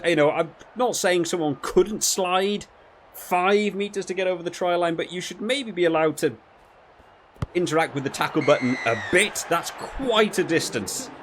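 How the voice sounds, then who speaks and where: A man's voice: high voice, moderate pace, slight background noise. A man giving casual gameplay commentary as a video creator, rambling and thinking aloud as he goes. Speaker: gaming video creator reviewing a rugby game.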